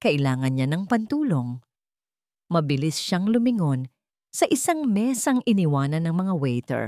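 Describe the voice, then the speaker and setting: Low-voiced woman narrating with sweeping, dramatic pitch. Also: big pitch swings, warm tone, dry recording, studio quality. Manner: dramatic, suspenseful delivery with deliberate short phrases. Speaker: story narrator. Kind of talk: dramatic scripted audiobook-style narration.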